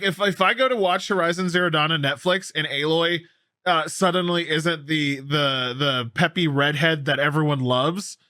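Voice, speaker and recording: A man with a fairly high, very bright and forceful voice hosts casual gaming news. He speaks emphatically, often doubling up on phrases, with an easy sweary bluntness. The sound is dry and close. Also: no echo. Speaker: gaming news show host. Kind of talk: casual news commentary with co-host.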